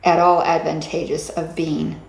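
Lowish-voiced woman interviewer, echoey room. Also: little pitch movement, a little slow. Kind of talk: conversational interview questions.

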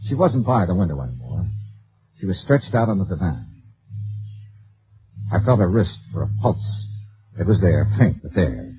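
An actor playing a tough, self-assured insurance investigator in a 1950s radio detective drama, with clipped narration and dialogue. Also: male voice, deep voice, sing-song, moderate pace, long pauses, over music. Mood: terse narration. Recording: muffled vintage 1950s radio recording.

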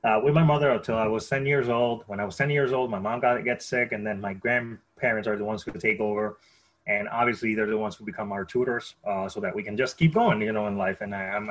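Podcast guest: Puerto Rican-accented man, 30s, middle-pitched, conversational personal storyteller who repeats words. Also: fairly bright tone, low-quality recording. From Puerto Rico.

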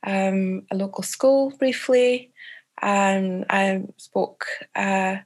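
A woman's voice: medium pitch, extremely slow. Thoughtful Scottish woman, hesitant. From Scotland. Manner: thoughtful, hesitant with many fillers and restarts. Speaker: author guest. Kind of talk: reflective interview answers.

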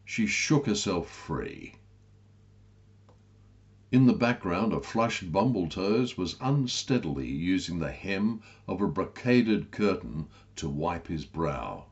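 A man's voice: deep voice, sing-song, slow pace, muffled recording. A man reading a comic story as a scripted narration, in a wry, literary manner. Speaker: author reading own story.

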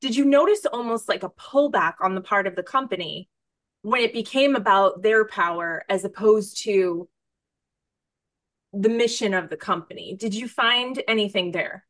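A woman with a mid-pitched voice hosts a chatty podcast interview. She is effusive and emphatic, piling on words for stress and wandering into tangents in a very informal way. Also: moderate pace, fairly bright tone. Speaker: podcast host.

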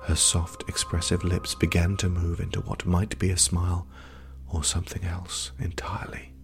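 A man narrating a scripted gothic horror story in a hushed, grave, measured manner. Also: deep voice, level tone, vocal fry, music in the background.

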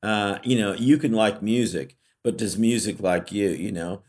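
A man's voice: lowish voice, a little slow, a little mellow. A middle-aged man from California, co-hosting a podcast in casual, reflective conversation full of fillers and restarts. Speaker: podcast co-host.

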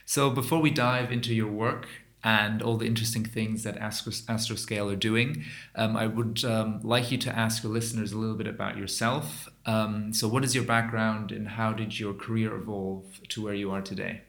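A man's voice: lowish voice, steady tone, moderate pace, creaky, a bit bright, no echo. A man hosting a podcast, presenting and interviewing. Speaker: podcast host. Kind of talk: scripted podcast introduction and interview.

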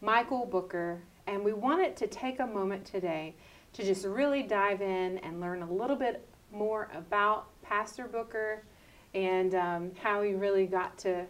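A woman hosts an interview podcast in a conversational style. Her mid-pitched voice sounds set back from the microphone. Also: unhurried. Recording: distant from the microphone.

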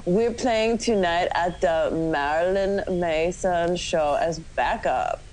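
A woman's voice: on the low side, slow pace, darkish tone, slight background noise, poor audio. A woman giving a comic dramatic reading of fan fiction, often giggly as she goes. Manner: giggly, often breaking into laughter. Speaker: podcast host doing a dramatic reading.

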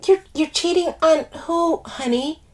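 A woman's voice: very high-pitched, measured pace, a little mellow, pitched above the performer's own voice. A woman voicing a brash, foul-mouthed, dramatic friend in an audiobook, blunt and exclamatory, stammering when flustered. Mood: baffled. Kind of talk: audiobook character dialogue.

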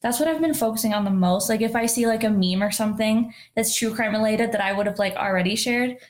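A woman's voice: fairly high pitch, quick pace. A young woman in her twenties with a nasal voice, co-hosting a podcast in casual chat, swearing and laughing often. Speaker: podcast co-host.

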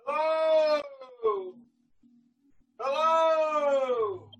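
An eerie male hitchhiker, acted by a man, hails drivers in a very high, slowly drawn-out call. It is old-time radio drama, heard through muffled, echoey audio. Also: a little hiss or hum, full character voice. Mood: eerie hailing.

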